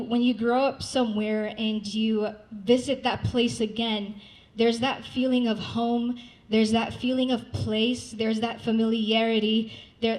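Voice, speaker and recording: A woman's voice: a little high, low-quality recording. A young woman from Saudi Arabia, a guest singer-songwriter, giving a gracious, earnest live introduction on stage at an art gallery event. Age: young adult. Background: faint guitar.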